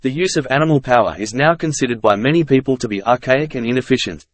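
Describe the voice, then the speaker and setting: A man reading practice passages aloud from a script in a measured, careful, neutral way. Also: medium pitch, dry recording. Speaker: narrator of English test practice texts.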